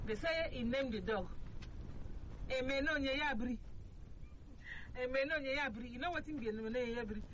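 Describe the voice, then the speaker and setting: A Nigerian woman from the southeast hosting a radio talk show, chatting casually in a lively, storytelling way full of rhetorical questions. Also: high-pitched, moderate pace, pauses often, slight background noise, rough recording. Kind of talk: casual radio chat in Pidgin.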